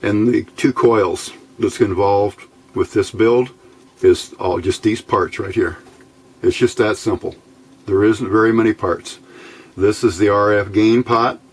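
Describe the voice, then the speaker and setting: A man's voice: fairly low pitch, fairly even intonation, measured pace, husky. A man presenting an informal hands-on electronics build, talking in a folksy, plainspoken way as he thinks aloud.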